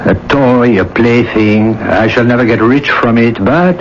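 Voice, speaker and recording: An actor playing a suave, evasive middle-aged lover in a radio drama, condescendingly affectionate. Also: male voice, mid-range voice, unhurried, a little hiss or hum, close to an everyday voice. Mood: smooth, condescending. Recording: muffled radio recording with music.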